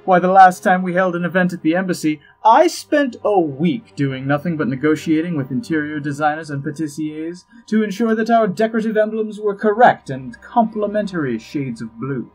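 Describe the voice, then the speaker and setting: An actor playing an urbane, wry, scheming ambassador in a fantasy audio drama, droll, precise and pompous, with a husky voice. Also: male voice, high voice, animated, moderate pace, few pauses, a little mellow, low-quality recording. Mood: wry, indignant. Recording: music behind the voice.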